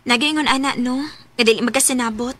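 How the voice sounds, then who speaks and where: A woman's voice: on the high side, fast talker, fairly bright tone. A woman with a Cebuano Filipino accent playing a forthright young single mother in her early twenties in a radio drama. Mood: curious, friendly. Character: forthright 21-year-old single mother eager for love.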